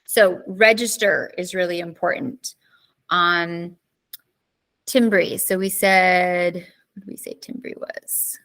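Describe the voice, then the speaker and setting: A woman teacher casually recapping a lesson, chatty, with fillers and quick asides. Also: on the low side, fairly even intonation, fairly bright tone.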